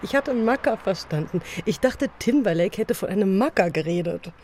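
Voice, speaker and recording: An actress playing a drowsy, affectionate wife in a radio drama, speaking in a playful, intimate way. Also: female voice, mid-range voice, expressive, moderate pace, darkish tone, slight background noise, lightly acted. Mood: playful, teasing.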